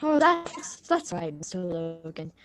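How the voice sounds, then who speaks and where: A woman's voice: on the low side, sing-song, a little slow, fairly bright tone, a little hiss or hum. A woman reading the part of a nervous, evasive teenage schoolgirl in a radio drama, hesitant and apologetic. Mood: politely evasive.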